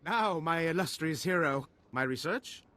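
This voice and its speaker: An actor playing a pompous, excitable and callous eccentric scientist in a video game, with theatrical delivery. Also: male voice, on the high side, measured pace. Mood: grandiose. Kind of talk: video game character dialogue.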